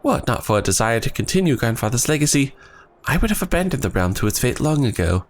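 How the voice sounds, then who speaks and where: A man reading the lines of an earnest young male scholar in his own voice, with formal, archaic phrasing and a voice that is going hoarse. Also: medium pitch, very animated, fast, lightly acted. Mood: solemn. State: losing his voice.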